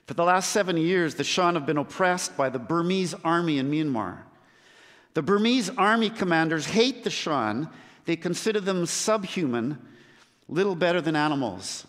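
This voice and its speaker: Earnest preacher in a reverberant hall. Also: male voice, a little high, lively intonation, fairly bright tone. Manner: earnest, with measured emphatic pauses. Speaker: missionary preacher. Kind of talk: sermon.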